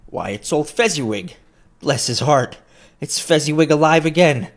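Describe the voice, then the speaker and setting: A man's voice: low-pitched, fairly even intonation, moderate pace, creaky, darkish tone, slightly rough, exaggerated voice. A man voicing an old miser, muttering and exclaiming in turn. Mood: agitated. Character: old miser Scrooge, mournful then excited.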